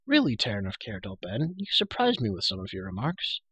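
A man's voice: lowish voice, huge pitch swings, brisk, sharp tone, slightly rough, no room sound, heavily acted, rough recording. A man voicing a chatty, bossy young girl of royal birth in a storybook, prattling matter-of-factly and scolding. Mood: breezy, blunt. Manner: prattling, matter-of-fact, indignant. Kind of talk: storybook character voice.

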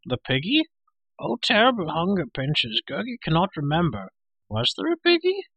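A man's voice: fairly high pitch, huge pitch swings, moderate pace, husky, no room sound, highs cut off, voice pushed up, heavily acted. A man voicing a hairy, half-animal storybook creature who wails, pleads and fawns hungrily. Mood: feigned faint, whining. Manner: wailing, pleading, fawning, speaks of himself in third person. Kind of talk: storybook character voice.

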